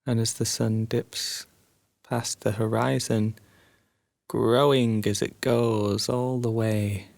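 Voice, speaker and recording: A man guiding a sleep meditation as a narrated story, soothing and hushed, leaving long pauses between phrases. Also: lowish voice, little pitch movement, vocal fry, dry recording.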